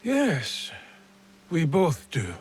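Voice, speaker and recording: An actor playing a stern, guarded feudal lord and father in a game cutscene, speaking curtly and with measured gravity. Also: fairly high pitch, huge pitch swings, breathy edge, heavily acted. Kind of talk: video game cutscene acting.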